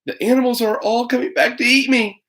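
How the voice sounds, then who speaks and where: A man's voice: very high voice, steady tone, fairly quick, sharp tone, pitched above the performer's own voice, heavily acted. A man voicing a brave, earnest little girl in a storybook, pleading and exclaiming. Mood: frightened pleading.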